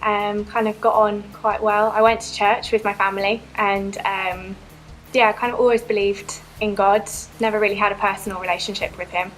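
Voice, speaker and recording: A young woman shares her faith journey in an earnest, reflective way, often pausing with ums. Her voice sits at a middle pitch. Soft music plays faintly underneath. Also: level tone, rough recording. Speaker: church member giving baptism testimony. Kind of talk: personal testimony, reflective.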